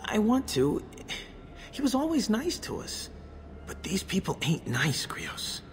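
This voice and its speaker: An actor playing a nervous young petty criminal in a video game, with slangy, ungrammatical street talk. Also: male voice, sits high, huge pitch swings, unhurried, a little hiss or hum, heavily acted. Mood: scared, reluctant.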